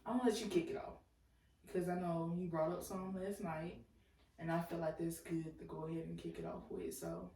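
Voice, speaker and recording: A woman's voice: lowish voice, flat delivery, a little fast, warm tone. A woman in her late twenties co-hosting a podcast, chatting loosely and telling stories with lots of laughter. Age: 20s. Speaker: podcast co-host. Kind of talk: casual chat. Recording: echoey room, mic at a distance.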